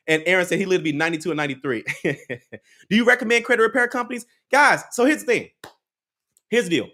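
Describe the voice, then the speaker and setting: A man hosting a livestream speaks with a very animated voice that swings high and low. He drives each point home by repeating it, talks straight to the chat and laughs now and then. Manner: preachy, repeats key lines, laughs often, talks to the chat. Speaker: credit advice livestream host. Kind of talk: live motivational chat with viewers.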